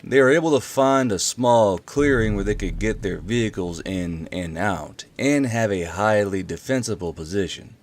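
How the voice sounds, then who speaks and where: A man's voice: fairly low pitch, moderate pace, few pauses, a little hiss or hum. A man hosting and narrating a story, with a casual, offhand way of talking. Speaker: channel host and narrator. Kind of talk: casual intro, then audiobook-style story narration.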